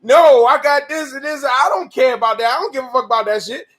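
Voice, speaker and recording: 40ish guy w a really high voice, loose and slangy, swears a lot, gets loud. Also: a little fast. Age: 40s. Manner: animated, profane, slangy, bursts into shouts. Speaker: podcast co-host. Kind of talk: candid casual chat.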